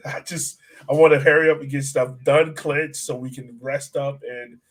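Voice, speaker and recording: A man's voice: mid-range voice, steady tone, normal speed. A man co-hosting a soccer podcast in casual, slangy, conversational sports chat.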